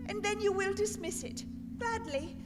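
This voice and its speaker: Woman playing high-voiced, sorrowful young fiancée. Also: normal speed. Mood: sad resolve. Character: fair young fiancée Belle, sorrowful yet resolute. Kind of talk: radio play acting. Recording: reverberant hall, music underneath.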